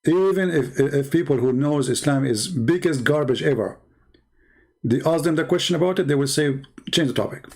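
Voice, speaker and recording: A man's voice: on the high side, normal speed, a little mellow. A middle-aged man from the Middle East whose first language is Arabic, hosting a live-stream lecture in an emphatic, repetitive way and throwing rhetorical questions at his viewers.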